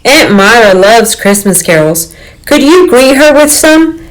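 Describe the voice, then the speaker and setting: A woman voicing a busy, fretful lady of the house in a children's storybook, expressive and emphatic as she gives instructions. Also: a little high, a little slow, pitched above the performer's own voice, rough recording. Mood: brisk.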